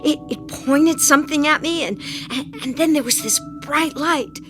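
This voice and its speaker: Feisty, folksy old country wife played by an actress. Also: female voice, high voice, moderate pace, music in the background, natural voice. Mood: pained, distressed. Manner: plain-spoken, folksy, wry. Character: feisty, stubborn old country wife, hurt but defiant. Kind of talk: audio drama acting.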